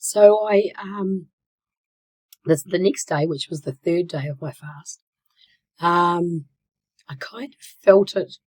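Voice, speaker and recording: Hoarse woman in her sixties with a cold. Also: lowish voice, slow, pauses often, darkish tone. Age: 60s. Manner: hesitant, many fillers and restarts, drops to a whisper at times. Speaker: channel host. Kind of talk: casual vlog talk.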